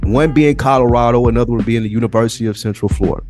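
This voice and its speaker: A man's voice: medium pitch, very fast, a little mellow, faint music behind. An American man co-hosting a podcast, explaining things in an informal, conversational way. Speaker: podcast co-host. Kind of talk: casual sports talk.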